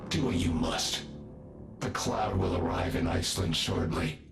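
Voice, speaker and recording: A man playing a cold, contemptuous robot villain held captive in a video game cutscene, deep and gravelly, with haughty menace. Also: unhurried. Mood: cold, smug. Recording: echoing game audio under loud music.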